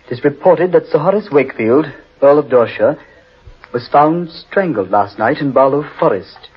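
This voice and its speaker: An actor playing a no-nonsense, skeptical gentleman with formal phrasing in a 1940s radio drama. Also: fairly high pitch, lively intonation, moderate pace, husky. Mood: reading solemnly. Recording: muffled old radio recording.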